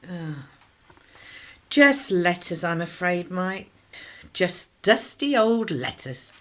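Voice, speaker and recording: A woman acting in a radio drama as a put-upon, sarcastic working-class wife and mother, wry and expressive. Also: lowish voice, slow pace, exaggerated voice. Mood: weary.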